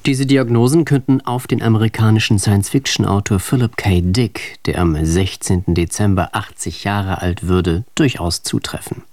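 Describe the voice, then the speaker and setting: Man, radio presenter, lowish voice, scripted introduction, measured delivery, very dry sound. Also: a little mellow, no room sound.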